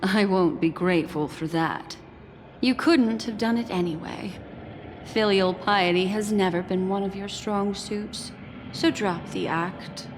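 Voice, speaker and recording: A woman playing a slow, coolly teasing seer. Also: medium pitch, slight background noise, lightly acted. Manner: calm, measured, dryly teasing. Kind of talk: video game voice acting. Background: music.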